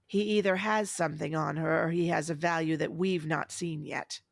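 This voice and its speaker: A woman's voice: deep voice, steady tone, moderate pace, creaky, dry recording. A woman playing an imperious, scheming vampire superior in an audio drama, cold, commanding and disdainful.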